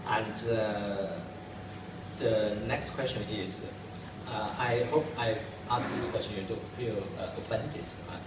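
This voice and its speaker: A man's voice: on the low side, takes it slowly. A young man interviewing a guest from a sheet of questions, polite and tentative, sitting in a school corridor. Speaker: student interviewer. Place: school corridor with plastic chairs. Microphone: far camera. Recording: echoey hallway from far off, noisy.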